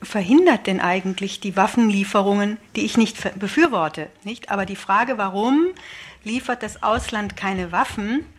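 A woman interview guest speaking earnestly and argumentatively in a radio studio, explaining political views and posing rhetorical questions. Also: medium pitch. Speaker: interview guest, aid convoy volunteer.

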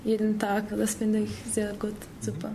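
An eighteen-year-old woman from Slovenia, a language student calmly recounting her arrival in a casual interview. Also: medium pitch, normal speed, a little hiss or hum.

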